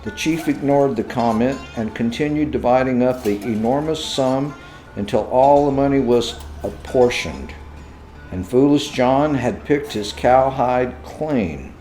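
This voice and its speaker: A man's voice: medium pitch, mellow. A man narrating a scripted folktale as a storyteller. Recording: roomy echo with music behind.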